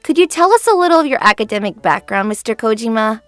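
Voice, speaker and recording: A woman voicing a haughty, dismissive high school girl with a condescending, expressive delivery in a manga dub. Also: fast talker, no room sound, soft background music, lightly acted. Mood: coolly polite. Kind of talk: acted manga dub dialogue.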